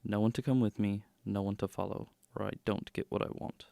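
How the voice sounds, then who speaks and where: Man acting a creaky, dry-witted gentleman detective. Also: fairly low pitch, steady tone, fairly quick, dry recording. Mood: shrewd. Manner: dry, clipped, wry. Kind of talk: radio drama acting.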